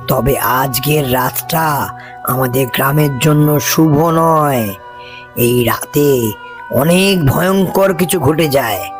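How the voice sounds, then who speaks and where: Man playing a slow, wary village servant. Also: mid-range voice, close to an everyday voice. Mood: cautious. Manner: deferential, earnest, foreboding. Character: loyal, superstitious male village servant, humble and wary. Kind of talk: cartoon voice acting.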